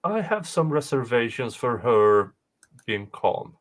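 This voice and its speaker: A man's voice: medium pitch, big pitch swings, slow. A man co-hosting a talk show, chipping in with casual remarks. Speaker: podcast co-host. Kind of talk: casual chat with short reactions.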